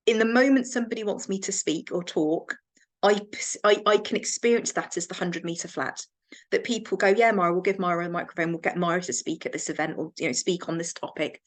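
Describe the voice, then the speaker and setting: English woman, counsellor-trainer, reflective, measured delivery, restarts mid-sentence. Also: medium pitch. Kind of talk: recorded training talk.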